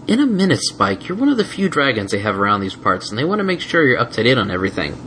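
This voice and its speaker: A man's voice: mid-range voice, big pitch swings, fast talker, a bit bright, a little hiss or hum, rough recording. A man voicing a teasing, motherly young female pony in a light, coaxing, playful way for a storybook reading. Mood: reassuring.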